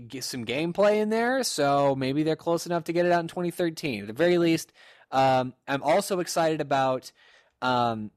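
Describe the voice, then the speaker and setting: A man chatting casually as a podcast host, with a playful manner. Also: medium pitch. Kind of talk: casual chat.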